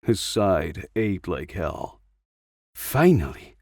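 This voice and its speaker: Male narrator, scripted storytelling, very slow delivery, gravelly fry, dry close sound. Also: sits low, darkish tone, no room sound. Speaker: audiobook narrator.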